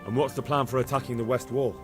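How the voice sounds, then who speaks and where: An actor playing an earnest young village lad turned fighter in a video game cutscene, asking short, direct questions. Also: male voice, medium pitch, fairly even intonation, fast talker, over music, close to an everyday voice. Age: young adult.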